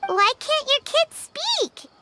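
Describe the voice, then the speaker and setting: A tiny, curious fairy sidekick from a fantasy game. The voice is childlike and piercingly high, swooping excitably in pitch yet unhurried. Music plays behind it. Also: female voice, heavily acted. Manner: childlike, refers to self by name, exclamatory. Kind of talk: scripted game dialogue. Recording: music under the voice.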